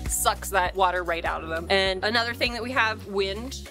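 A young woman ranger gives an outdoor talk to visitors, friendly and explanatory, often turning questions to the group. Her voice sits at an ordinary pitch. Music plays clearly beneath her. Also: normal speed. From Pennsylvania. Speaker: park ranger. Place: outdoors at a mountain meadow.

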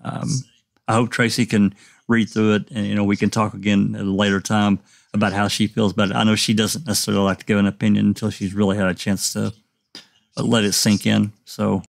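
Male host, gravelly voice, dry close sound, casual and hedging. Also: on the low side, flat delivery, a little fast, no room sound. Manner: reads viewer chat aloud, hedging with fillers. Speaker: livestream discussion host. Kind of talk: casual panel discussion.

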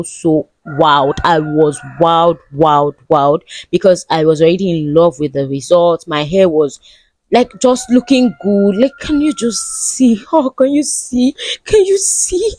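Chatty woman, low dry voice. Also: a little mellow, no room sound. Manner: chatty, repeats words for emphasis. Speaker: beauty vlogger. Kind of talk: voice-over narration.

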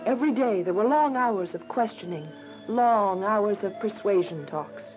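A woman's voice: mid-range voice, lively intonation, moderate pace, lightly acted. Woman acting earnest young prisoner in a religious radio drama, emotive, kinda dull lo-fi sound, music behind. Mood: solemn. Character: Alice, earnest devout young woman imprisoned for her faith.

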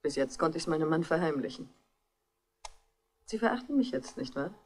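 A woman's voice: sits low, lively intonation, moderate pace, darkish tone, slightly rough. An actress playing a worldly, bitter married woman, confiding and dramatic, in a 1960s radio crime drama. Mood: brittle, bitter. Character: brittle, worldly married woman, bitter about her cold mother.

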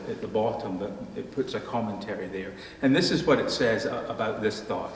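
A middle-aged man, a pastor, preaching a measured sermon from a pulpit in a church sanctuary, slowing down at the ends of his phrases. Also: medium pitch. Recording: echoey hall, faint room noise.

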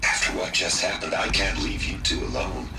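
A man's voice: low voice, steady tone, normal speed, lightly acted. An actor playing a robot father caretaker in a video game, obliviously polite and cheery in a formal, programmed way. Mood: blandly cheerful. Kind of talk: scripted video game dialogue. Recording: echoey, distant, music behind.

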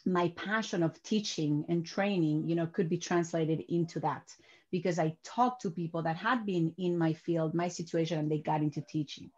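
Guest, woman in her 40s, lively and repeats words as she talks, muffled video-call sound. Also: on the low side. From Peru. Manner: animated, repeats words while building sentences. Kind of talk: interview over video call. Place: home office. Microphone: webcam on video call. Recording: dull lo-fi video call audio.

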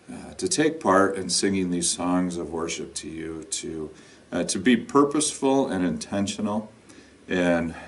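A middle-aged man, a pastor preaching and praying informally in a halting, deliberate way, recorded indoors at some distance from the microphone. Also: low voice. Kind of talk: informal sermon and prayer. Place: indoor lounge with couch and brick wall.

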